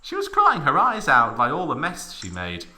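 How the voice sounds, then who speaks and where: A man's voice: fairly high pitch, normal speed. A man hosting a mock-dramatic story reading, narrating theatrically and breaking off for joking asides. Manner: theatrical, animated. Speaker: host, story reader. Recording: echoey room.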